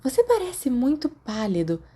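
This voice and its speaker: A curious schoolgirl with a Brazilian accent, acted by a woman reading aloud. She sounds caring and observant, her voice lifted higher as in a storybook. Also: huge pitch swings, moderate pace, dark tone, husky, heavily acted. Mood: concerned. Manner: questioning, caring.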